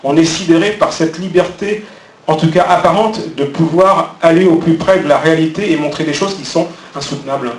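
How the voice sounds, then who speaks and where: A man from France speaking earnestly on a conference panel, in measured phrases. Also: a little high. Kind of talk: panel discussion. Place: conference hall at a trade fair. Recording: echoey hall, distant mic, faint noise.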